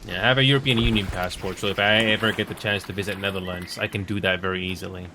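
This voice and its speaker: A man's voice: low-pitched, fairly even intonation, quick pace. A man born in Finland whose first language is Finnish, chatting casually on a live stream as he plays. He rambles, repeats himself and mutters at the game. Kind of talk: casual live-stream chat while gaming. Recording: noisy background.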